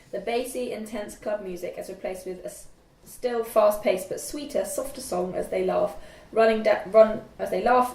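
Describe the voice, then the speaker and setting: A young woman reading screen directions as narrator at a script table read, halting and stumbling a little over the text. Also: mid-range voice, a little mellow. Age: young adult. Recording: echoey room.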